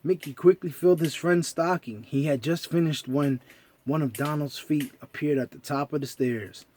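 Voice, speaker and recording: Higher-voiced man telling a children's story. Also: normal speed, darkish tone, a little hiss or hum. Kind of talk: expressive children's story narration.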